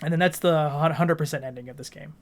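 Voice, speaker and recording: A male podcast co-host with a somewhat high-pitched voice. He talks in a casual, explanatory way, using everyday colloquial language as he discusses video games. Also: quick pace.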